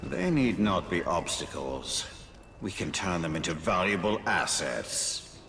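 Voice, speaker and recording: A stern spirit lord from a fantasy game. The voice is low and forceful, grave and formal, and rings in a long reverb as it gives commands. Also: male voice, sing-song, unhurried, gravelly, full character voice. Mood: stern, commanding. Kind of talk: dramatic video game dialogue. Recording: long reverb, faint noise.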